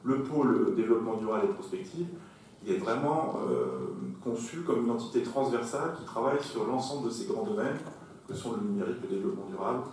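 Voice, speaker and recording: A man chairing a council meeting, explaining points formally in a measured, emphatic way. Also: on the low side, a little mellow. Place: large echoey meeting room. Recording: very echoey hall, distant mic, faint noise.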